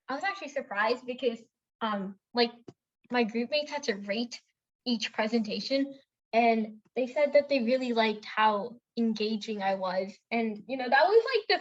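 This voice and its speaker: Young woman co-host from India, bright higher voice, rambling casual storyteller, lo-fi recording. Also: normal speed. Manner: chatty storytelling with many fillers and self-corrections. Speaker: podcast co-host. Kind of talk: casual chat.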